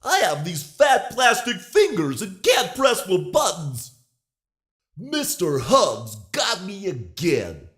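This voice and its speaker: An actor voicing a petulant, whiny toy bear character for a video game, full of indignant complaints. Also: male voice, sits high, huge pitch swings, takes it slowly, a bit bright, heavily acted. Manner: indignant, blame-shifting complaints.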